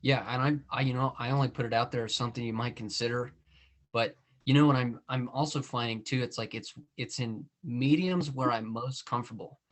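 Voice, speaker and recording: A man's voice: medium pitch, steady tone, brisk. Chatty male podcast host. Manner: conversational, frequent "you know" and "I mean". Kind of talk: casual interview chat.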